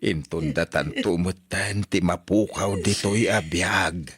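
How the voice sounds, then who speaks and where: A man playing a resigned, grieving old grandfather in an Ilocano radio drama, with a husky voice and heavy delivery. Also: deep voice, takes it slowly, fairly bright tone, full character voice. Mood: sad, accepting.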